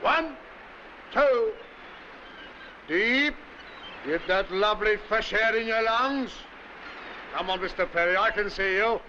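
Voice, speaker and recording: An actor playing a bossy British army drill instructor barking commands in a 1940s war film. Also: male voice, sits high, slow pace, long pauses, sharp tone, exaggerated voice. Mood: commanding. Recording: muffled 1940s film soundtrack, faint noise.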